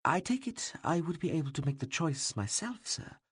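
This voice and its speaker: A male narrator voicing a composed woman court doctor who answers in a dry, sarcastic, measured way. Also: fairly high pitch, very animated, fairly bright tone, husky, no room sound, pitched above the performer's own voice, heavily acted.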